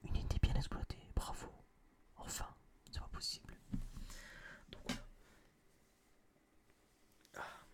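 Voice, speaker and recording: Whispering young Frenchman, close and breathy. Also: takes it slowly, pauses often. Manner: casual, slangy, rambling. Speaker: ASMR video creator. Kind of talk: whispered ASMR film review. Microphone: close binaural microphone.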